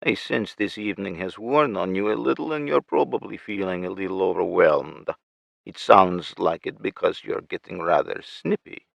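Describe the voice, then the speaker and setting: A man voicing a gloating male villain with a Russian accent, taunting and mocking in a slow, drawn-out way. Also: low voice, vocal fry, no room sound. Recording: muffled lo-fi recording.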